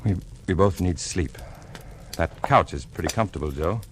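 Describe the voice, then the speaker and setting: An actor playing a tense, guilty husband who snaps orders in a 1940s radio suspense drama, on an old recording. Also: male voice, low-pitched, animated, normal speed. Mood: weary, uneasy. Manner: tense, agitated, snapping orders. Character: guilty, jittery husband unnerved by his wife's dog. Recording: old radio recording, faint noise, clicks.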